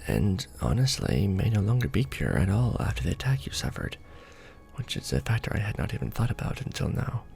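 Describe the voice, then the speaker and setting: A man playing a courtly, doting vampire lord in an ASMR romance roleplay, speaking formally and deliberately with tender pauses. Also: lowish voice, very animated, creaky, a little mellow. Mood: thoughtful, hesitant.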